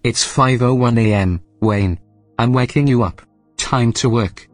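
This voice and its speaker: A man voicing an unflappable, literal computer assistant in a deadpan, matter-of-fact way for an audio drama. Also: medium pitch, measured pace, no echo, faint music behind, lightly acted. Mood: calm, insistent.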